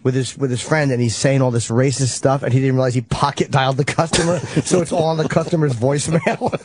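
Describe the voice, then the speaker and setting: A man's voice: mid-range voice, steady tone, fast talker, darkish tone. A man hosting a radio show, talking in casual comedic banter.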